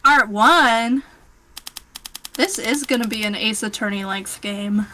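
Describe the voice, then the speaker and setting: A woman's voice: on the high side, takes it slowly, bright, forceful tone, a little hiss or hum. A woman chatting casually as a co-host on a livestream.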